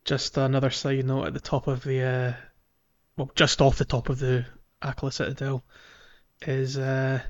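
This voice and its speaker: A man's voice: medium pitch, monotone, normal speed. A man hosting a casual gaming podcast, talking in a rambling, explanatory way. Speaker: podcast host. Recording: dull lo-fi sound.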